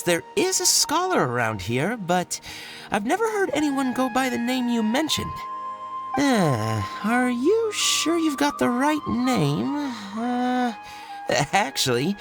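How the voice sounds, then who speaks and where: A woman's voice: a little high, heavily acted. A woman playing a young would-be student in a video game, polite, hesitant and unsure. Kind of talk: video game cutscene acting.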